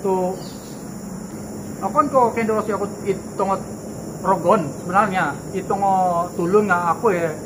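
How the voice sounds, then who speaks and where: A man's voice: high voice, measured pace, long pauses. A young Malaysian man with a Malaysian accent, vlogging and talking casually to his viewers in a chatty, reassuring way, recorded outdoors at night on a handheld camera mic. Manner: chatty and reassuring, mixes in Kadazan Dusun phrases. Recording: noisy outdoor night ambience with insects.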